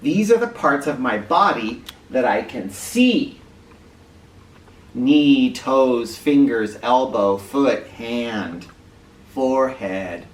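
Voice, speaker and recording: A man hosting an educational read-aloud for children, speaking directly to them with clear emphasis and questions. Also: mid-range voice, animated, takes it slowly. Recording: roomy, distant mic with faint noise.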